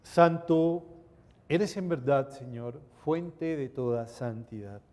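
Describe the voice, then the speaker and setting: A middle-aged man, a priest, reciting liturgical prayers in a measured, reverent, solemn way. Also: mid-range voice, warm tone. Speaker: priest celebrating Mass. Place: indoor chapel at an altar. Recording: reverberant chapel sound.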